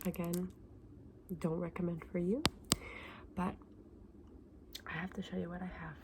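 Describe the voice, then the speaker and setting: A woman playing a friendly, candid dragon egg seller in a whispered, soft-spoken ASMR roleplay, explaining things with small hesitations. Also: fairly low pitch, flat delivery, a little slow, heavy background noise. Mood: cautioning.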